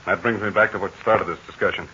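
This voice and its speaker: An actor playing a calm, fatherly heroic aviator in a 1940s radio serial, speaking in measured, assured tones. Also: low voice. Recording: dull scratchy 1940 radio transcription.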